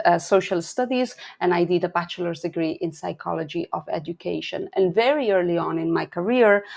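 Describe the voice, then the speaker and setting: A woman's voice: lowish voice, vocal fry, darkish tone. A middle-aged woman from Barcelona with a Spanish accent, presenting a webinar for parents and teachers in an earnest, practical way with frequent restarts. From Barcelona, Spain. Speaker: early intervention specialist and webinar host.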